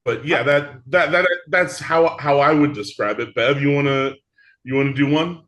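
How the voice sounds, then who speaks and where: A man speaking as an interview guest, explaining things in a measured, conversational way. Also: medium pitch, a bit bright. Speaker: interview guest, theatre show creator.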